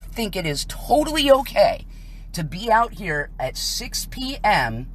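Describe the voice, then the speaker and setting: A woman's voice: mid-range voice, big pitch swings, measured pace, dry recording. An American woman giving emphatic, indignant live commentary from inside a vehicle, leaning on rhetorical questions and pointed statements. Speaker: citizen filming a protest from a vehicle. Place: inside a vehicle on a residential street.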